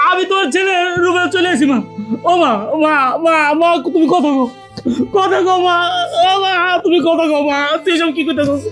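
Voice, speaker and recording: A man's voice: sits high, fairly bright tone. A man playing a devoted son back from abroad, who talks in an insistent, pleading way.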